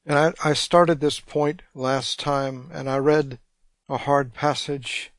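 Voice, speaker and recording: A man preaching a radio sermon, congested, reading scripture slowly and deliberately. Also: a little high, no echo, studio quality.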